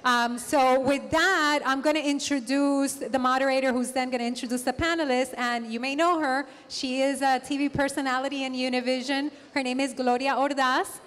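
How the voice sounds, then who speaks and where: A woman hosting a conference panel, opening it and introducing the moderator in a brisk, chatty way. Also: high voice, steady tone, fairly bright tone, long reverb tail. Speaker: event organizer, host.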